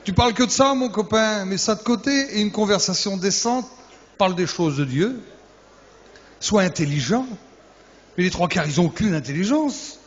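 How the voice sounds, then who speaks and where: A man's voice: sits high, expressive, moderate pace. A male youth pastor preaching to young people, teasing and humorous, firing off rhetorical questions and repeating key words for emphasis. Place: church hall. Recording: echoey hall, dull lo-fi sound.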